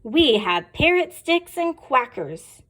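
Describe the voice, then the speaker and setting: A woman voicing a cheerful, silly schoolteacher in a picture book, in a bright sing-song teacher voice. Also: high voice, very animated, takes it slowly, heavily acted. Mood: cheery.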